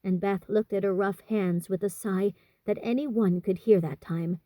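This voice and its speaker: A woman's voice: medium pitch, steady tone, normal speed, dark tone, no echo. A woman reading a story as an audiobook narrator, in scripted storybook style.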